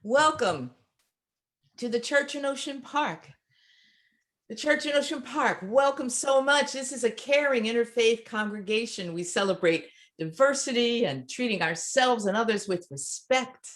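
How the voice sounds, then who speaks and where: Woman, high voice, slow and deliberate, delivering a congregational welcome. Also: animated, fairly bright tone. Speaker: church service welcomer and singer. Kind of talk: warm spoken congregational welcome.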